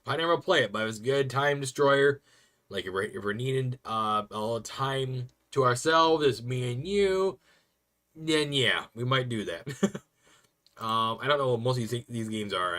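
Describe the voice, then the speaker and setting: Chatty, bright-voiced man streamer. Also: medium pitch. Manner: rambling, chatty, laughs often. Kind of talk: casual stream chat.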